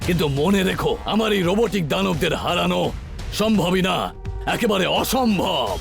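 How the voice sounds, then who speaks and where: Gloating, high-pitched cartoon villain with gravelly edge. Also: male voice, faint music behind. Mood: gloating, taunting. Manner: taunting, boastful, theatrical, drawn-out delivery. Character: gloating male cartoon villain commanding robotic monsters.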